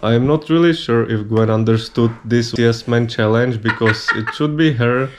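A man's voice: mid-range voice, normal speed, over music. Dry, mildly teasing young man. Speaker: vlogger. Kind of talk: casual home vlog. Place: small living room, indoors.

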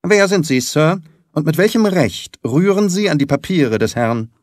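A man voicing a quarrelsome, stingy little old lord in an audiobook, with a sharp, screechy voice and a tense, commanding manner. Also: a little high, lively intonation, normal speed, no echo, studio quality, pitched above the performer's own voice, natural voice. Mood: suspicious, demanding. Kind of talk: dramatised audiobook reading.

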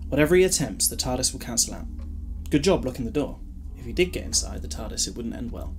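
A man playing a flippant, protective alien time traveller in a fan audio drama, with a husky voice and wry, bantering delivery. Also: medium pitch, lively intonation, fast, over music, lightly acted. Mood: explanatory.

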